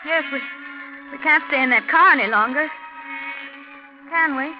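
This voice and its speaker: Actress as an anxious, high-voiced stranded traveler. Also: female voice, moderate pace, over loud music, close to an everyday voice. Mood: fearful. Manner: hesitant, worried, theatrical. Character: anxious, uneasy female traveler stranded at night. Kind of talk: 1940s radio drama acting. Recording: muffled, very noisy 1940s radio recording.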